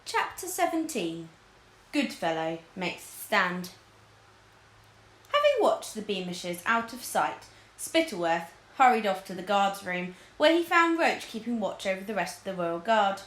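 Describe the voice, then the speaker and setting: A woman's voice: mid-range voice, sing-song, measured pace, fairly bright tone. Woman reading a story aloud, roomy echo. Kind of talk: expressive scripted story narration. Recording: roomy, echoing indoor sound.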